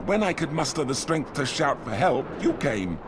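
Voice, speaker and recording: An actor playing a sly, argumentative, whining soldier in a dramatic fantasy video game. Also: male voice, mid-range voice, very animated, moderate pace, noisy background, natural voice. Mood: shaken. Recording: music behind the voice.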